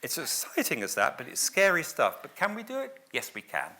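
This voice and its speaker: A man's voice: fairly high pitch, huge pitch swings, brisk, vocal fry, pushed and bright. A man speaking on a discussion panel, rallying the room with repeated calls to act and many "you know" fillers. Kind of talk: passionate panel talk. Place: event hall with audience.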